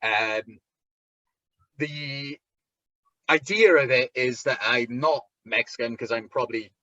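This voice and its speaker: A man with a thick Welsh accent, a wrestler chatting as an interview guest, talking haltingly with lots of restarts and fillers. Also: medium pitch, sharp tone, no room sound, highs cut off. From Wales. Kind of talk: casual interview chat.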